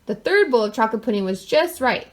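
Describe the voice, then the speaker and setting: A woman with a fairly high voice reads a picture book aloud to children. Her delivery is expressive, and she adds wry asides in a mock-innocent way. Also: fast talker. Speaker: storyteller.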